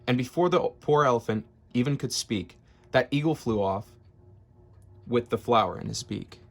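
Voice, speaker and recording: A man's voice: mid-range voice, animated, normal speed, vocal fry. Man reading a rhyming storybook. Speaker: storybook reader. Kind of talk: expressive read-aloud of rhyming children's story.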